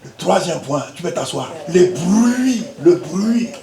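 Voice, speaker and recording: A man in his fifties preaching a Pentecostal sermon, whose first language is Lingala, moving between quiet asides and loud exhortation. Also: high-pitched, expressive, slow pace, vocal fry. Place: church hall. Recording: echoey church hall with faint noise.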